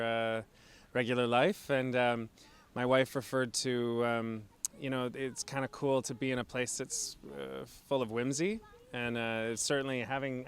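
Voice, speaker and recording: Man, casual visitor interview, fillers, smooth clear voice. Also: mid-range voice, a bit bright. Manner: tentative, many fillers.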